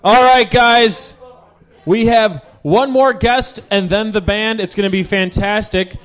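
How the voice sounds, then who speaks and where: A man hosting a live comedy show, playing a clueless American with a deadpan delivery. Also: sits high, a little slow. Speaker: show host.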